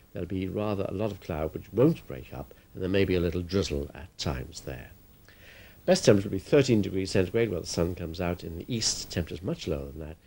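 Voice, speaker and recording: A man presents the weather forecast in a very low-pitched voice. He explains things to viewers in a plain, conversational way, as a television forecaster does. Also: a little mellow, breathy edge.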